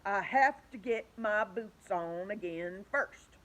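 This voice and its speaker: A woman's voice: mid-range voice, takes it slowly, fairly bright tone. A woman voicing a fussy, indignant male centipede in a storybook. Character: fussy male centipede, fretting over his boots.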